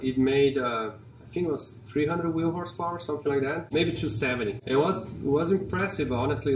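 A man in his forties with a Brazilian accent, talking casually and haltingly about his car in a large garage showroom, with many fillers and restarts. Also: mid-range voice, slight background noise. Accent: Brazilian Portuguese. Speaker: company CEO and car collector. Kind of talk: casual walkaround interview. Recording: roomy and distant in a garage.

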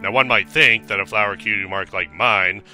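A man's voice: lowish voice, moderate pace, bright, forceful tone, soft background music. A man voicing a jaded, crabby pony schoolteacher, sardonic and scolding, in a parody cartoon dub. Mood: sardonic ranting.

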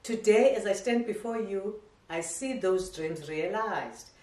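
A middle-aged woman delivers a formal, ceremonial graduation speech in a measured way, with small stumbles as she reads. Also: medium pitch, a little mellow. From South Africa. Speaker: academy head giving a graduation speech. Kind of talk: formal prepared speech read aloud. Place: living room at home. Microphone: camera across the room. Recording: echoey room, voice from a distance.

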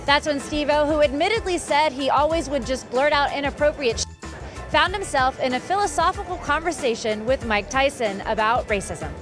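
A woman's voice: high voice, normal speed. A woman hosting an entertainment news piece, reading a scripted narration. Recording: music behind the voice. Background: background music.

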